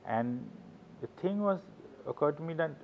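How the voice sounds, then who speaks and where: A man's voice: a little high, expressive, gravelly, a little hiss or hum. Middle-aged guy talking english, author telling rambling stories, keeps restarting, kinda muffled lo-fi audio. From Sweden. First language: Swedish. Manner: rambling, anecdotal, halting restarts. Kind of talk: casual interview talk.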